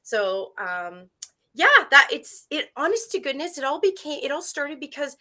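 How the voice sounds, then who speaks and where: A French-native woman talks as an interview guest, lively and eager, with plenty of fillers. Her voice is bright and sits a little high. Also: normal speed. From Canada. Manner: animated, talkative, with fillers and laughs. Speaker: guest, first aid instructor. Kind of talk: casual interview talk.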